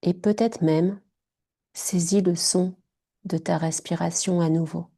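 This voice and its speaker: A woman guiding a yoga nidra relaxation in short, hushed, soothing phrases. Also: lowish voice, steady tone, slow pace, gravelly. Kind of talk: guided meditation.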